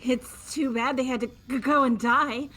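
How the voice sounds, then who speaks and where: A woman's voice: high voice, steady tone, normal speed, sharp tone, faint music behind. A woman voicing a haughty young woman obsessed with her master, stuttering with contempt in an amateur game dub. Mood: scornful. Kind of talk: amateur voice acting of a video game.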